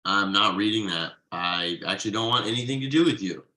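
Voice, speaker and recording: A man playing an exasperated, uptight workaholic father with halting, sardonic delivery in a comedy table read. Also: lowish voice, pushed and bright, rough recording. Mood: indignant.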